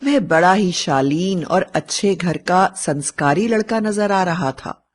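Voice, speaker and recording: A woman's voice: on the low side, takes it slowly. A woman narrating a scripted story as a storyteller. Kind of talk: scripted fairy-tale narration.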